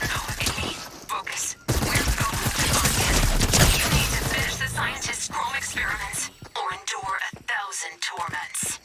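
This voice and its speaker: A woman's voice: mid-range voice, far from the mic. An actress playing a haughty, melodramatic and sarcastic female computer AI in a video game. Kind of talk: scripted video game voice acting.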